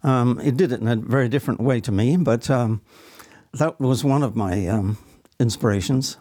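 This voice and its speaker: A man in middle age talks in a conversational interview, feeling his way through each thought with hesitation. His voice sits at a middle pitch and is recorded very dry and close. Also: normal speed, a little mellow, no echo. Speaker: stage actor, podcast guest.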